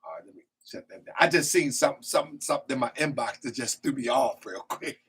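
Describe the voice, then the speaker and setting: A man hosting a casual live call-in show, hoarse and losing his voice, rambling with stammering restarts. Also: mid-range voice, lively intonation, quick pace, fairly bright tone. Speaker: host.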